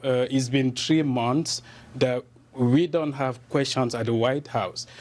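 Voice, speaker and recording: A man's voice: medium pitch, a little mellow. Indignant man with a Cameroonian French accent. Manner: earnest, indignant, with fillers and repeated starts. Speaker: journalist guest. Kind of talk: TV interview.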